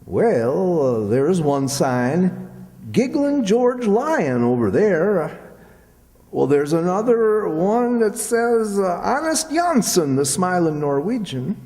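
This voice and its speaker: An actor playing a naive, dryly wisecracking rustic sidekick in old-time radio comedy, with a folksy, hesitant drawl. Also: male voice, sits high, big pitch swings, slow, warm tone, exaggerated voice. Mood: musing. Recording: echoey hall with long reverb.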